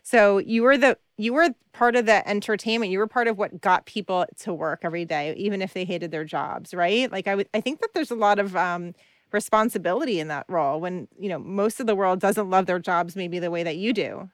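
Woman host, smooth clear voice, dry close mic, eager admiring questions. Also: medium pitch, fairly quick, dry recording. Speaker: interview host. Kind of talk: casual interview conversation.

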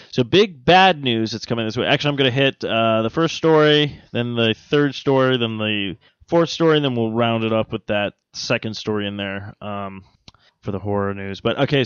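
Halting, casual man co-hosting, dull dry audio. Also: mid-range voice, no room sound. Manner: rambling, halting reader who stumbles over words; casually profane. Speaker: podcast co-host. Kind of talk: casual banter and reading odd news items.

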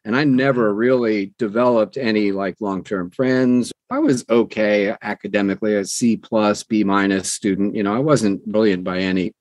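A man's voice: lowish voice, steady tone, moderate pace, hardly any pauses, a little mellow, no room sound. A man in his sixties talking conversationally as an interview guest, in a folksy way with phrases repeated for emphasis. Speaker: interview guest, audiobook narrator.

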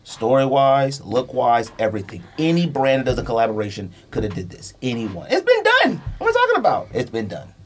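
A man's voice: medium pitch, huge pitch swings, fairly quick, few pauses, a little hiss or hum. A man hosting a podcast, arguing casually and emphatically in slangy, repetitive phrases. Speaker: podcast host. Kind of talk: animated sneaker debate, casual chat.

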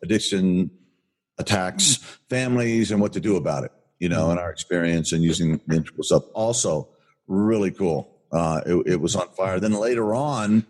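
An older man with a booming voice, co-hosting a podcast and telling a story in an enthusiastic, rambling way with many restarts. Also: moderate pace, a little mellow. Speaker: podcast co-host. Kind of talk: casual rambling chat.

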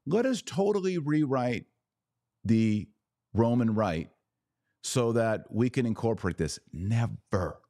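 A man's voice: medium pitch, expressive, slow, mellow, no echo, clean studio sound. A man hosting a livestreamed commentary podcast, talking emphatically with sarcastic, mocking asides. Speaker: podcast host.

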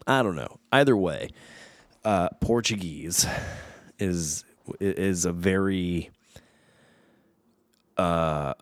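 A man's voice: low-pitched, no echo, studio quality. A man in his thirties from the United States, chatting casually and reflectively, rambling with many fillers and restarts. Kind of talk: casual chat.

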